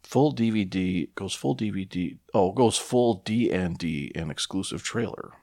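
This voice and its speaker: A man's voice: low voice, unhurried, darkish tone, no room sound, studio quality. A tipsy man co-hosting a comedy podcast, reacting with mock exasperation and casual swearing. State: tipsy after three shots. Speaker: podcast co-host.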